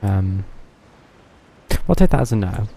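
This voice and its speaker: A man with a dark, relaxed voice commentates casually on a game stream. His delivery is dry and sarcastic, with a gravelly fry in it. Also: fairly low pitch, measured pace, slight background noise. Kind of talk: casual live gameplay commentary.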